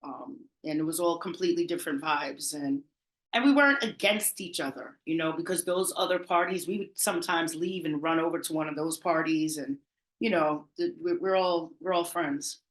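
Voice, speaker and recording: A woman's voice: sits low, moderate pace, roomy sound. Chatty Bronx woman telling party stories. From the Bronx, New York. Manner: animated, chatty, laughs often, trailing restarts. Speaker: party promoter, interview guest. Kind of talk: casual interview storytelling.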